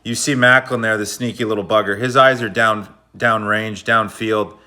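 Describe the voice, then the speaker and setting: A man's voice: fairly low pitch, steady tone, moderate pace, pushed and bright. A man giving a casual, slangy breakdown of hockey game footage, explaining plays like a coach. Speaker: hockey video analyst.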